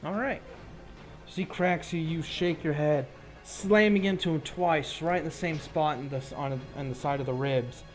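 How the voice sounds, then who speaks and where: A man's voice: a little high, normal speed. A man narrating a tabletop fantasy game as game master, describing action in a conversational, improvised way. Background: background music.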